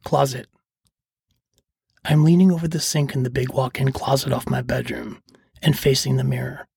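Husky, gravelly middle-aged male storyteller. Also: medium pitch, fairly even intonation, moderate pace, a little mellow, no room sound, studio quality. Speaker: podcast host and storyteller. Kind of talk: scripted first-person narration. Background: faint music.

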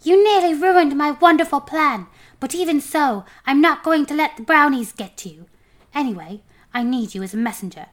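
A tiny, sly male homunculus from a fantasy storybook, put on by a woman reader. The voice is pitched up, haughty and bossy, with a hissing, scolding edge. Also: lively intonation, moderate pace. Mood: impatient. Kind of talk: children's fantasy storybook reading.

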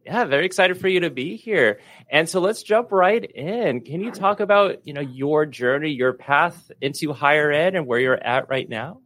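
Lively-pitched man hosting a podcast interview. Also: normal speed, hardly any pauses, no echo. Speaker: podcast host.

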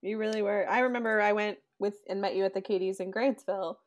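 An upbeat woman hosting a relaxed chat, with a mid-range voice. She keeps things moving, tossing questions to her guests. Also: mid-range voice, fast. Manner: upbeat, leads the conversation. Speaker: podcast host. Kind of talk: casual chat.

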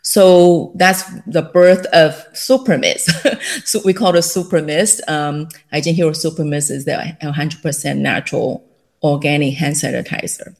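A woman's voice: low voice, measured pace, creaky, a little mellow. A middle-aged woman with a Taiwanese accent, telling her story as a guest in an interview, with many fillers and light laughs. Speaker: guest, company founder. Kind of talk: casual interview.

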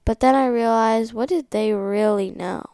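A woman's voice: on the high side, normal speed, mellow, clear, resonant tone, dry recording. A woman reading a picture book aloud as the host of a read-along.

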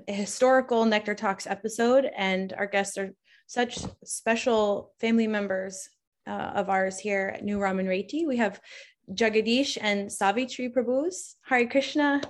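A woman's voice: mid-range voice, fairly even intonation, unhurried. Gushing woman co-hosting a podcast. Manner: warm, gushing, laughs easily, frequent fillers. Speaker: podcast co-host. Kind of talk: casual chat and interview hosting.

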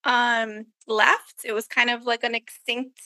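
A woman's voice: a little high, level tone, unhurried, sharp tone, no echo. An American woman talking casually as a podcast co-host. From Miami, USA.

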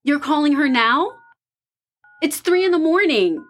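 A woman acting a worried, hesitant young woman in an audio horror drama, with a subdued, husky and breathy delivery. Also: high voice, animated, unhurried.

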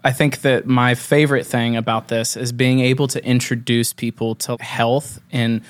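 A man's voice: mid-range voice, little pitch movement, normal speed, no echo. A man hosting a podcast, asking earnest, rambling questions with lots of fillers. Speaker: podcast host. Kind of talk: casual interview chat.

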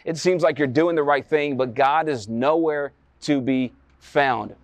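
A man's voice: a little high, a little slow, dry recording. A man preaching a sermon, retelling a Bible story dramatically with rhetorical questions and emphatic repetition.

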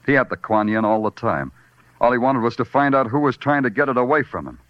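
An actor playing a wry, hardboiled New York police detective in 1940s radio noir, dry, clipped and sardonic. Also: male voice, mid-range voice, expressive, fast, dry recording, close to an everyday voice. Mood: dry, confrontational. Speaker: lead actor and narrator. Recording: muffled old radio recording with music.

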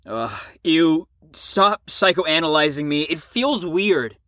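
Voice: medium pitch, expressive, slow pace, a bit bright, dry recording. An actor in an audio drama playing a guarded, sardonic recovering addict with a defensive, dry manner. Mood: defensive, annoyed.

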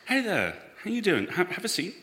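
A man playing an anxious, earnest spaceman in a live audio drama, halting and pleading as he speaks. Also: high-pitched, wildly swooping pitch, pushed and bright, full character voice. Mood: nervously welcoming. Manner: halting, repeats words, pleading. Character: anxious, earnest spaceman devoted to his ship's AI. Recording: echoey hall, live stage recording.

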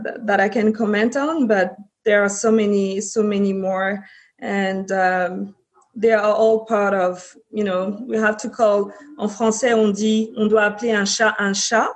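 Slow, halting woman. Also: mid-range voice. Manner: halting, many restarts and fillers. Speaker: panelist. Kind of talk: discussion panel.

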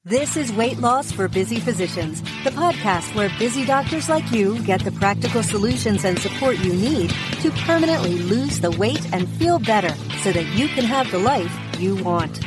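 A woman's voice: medium pitch, lively intonation, moderate pace, hardly any pauses. A woman reading a podcast's scripted intro in a polished announcer manner. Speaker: show announcer. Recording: over loud background music.

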